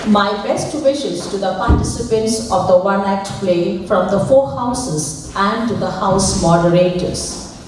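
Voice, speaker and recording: A woman delivers a formal welcome address in a medium-pitched voice. She is recorded from far off in a very echoey space, with faint noise around her. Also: unhurried. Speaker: welcome speaker. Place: school event, public address.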